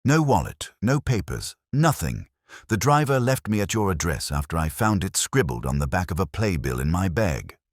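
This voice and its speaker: A man plays a glamorous, desperate woman in a detective story. The voice stays low for her, yet swings up and down as she pleads haltingly in fear. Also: very animated, no echo, studio quality. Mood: frightened.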